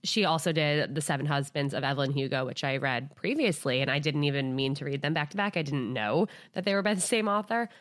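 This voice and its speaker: A woman's voice: sits low, fast, hardly any pauses, dry recording, studio quality. A woman in her late twenties, possibly, hosting a podcast in a casual, upbeat way with many fillers. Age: 20s. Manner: chatty, upbeat, lots of fillers like "like" and "you know". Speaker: podcast host. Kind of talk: casual solo podcast intro.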